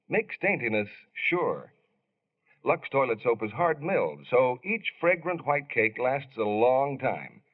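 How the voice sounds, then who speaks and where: Man, old-time radio pitchman, emphatic, lively melody, medium pitch, muffled recording. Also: slightly rough. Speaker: radio announcer. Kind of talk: 1940s radio announcing and ad read.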